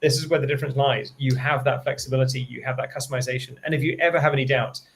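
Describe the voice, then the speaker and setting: A man speaks quickly as co-presenter on a product webinar. He leans on emphasis, repeating words to stress a point, and recommends the product with conviction. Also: medium pitch, fairly even intonation. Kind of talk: enthusiastic product webinar talk.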